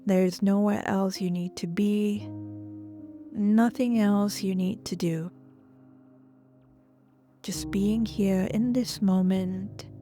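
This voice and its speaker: Slow, mellow woman guiding gentle relaxation. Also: medium pitch. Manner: gentle, soothing, often trailing into breathy near-whisper. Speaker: yoga nidra instructor. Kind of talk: guided relaxation and breathwork instruction. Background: soft music.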